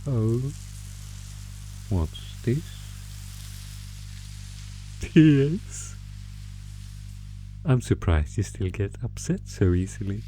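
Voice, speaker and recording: A low-voiced male monster, acted by a man, drawls cruel taunts to captive prey. The delivery is theatrical and unhurried, in the style of an ASMR horror roleplay. Music plays clearly beneath the voice. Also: expressive, warm tone, poor audio. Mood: menacing, gloating.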